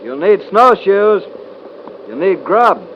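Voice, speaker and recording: Quiet american cowboy, terse n slow, old radio drama, dull faintly hissy sound. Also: male voice, high voice, sing-song, heavily acted. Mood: calm, terse. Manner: laconic, measured, understated. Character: quiet, stoic cowboy, the thoughtful brother. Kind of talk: 1950s radio drama acting. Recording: muffled, faintly noisy old radio recording.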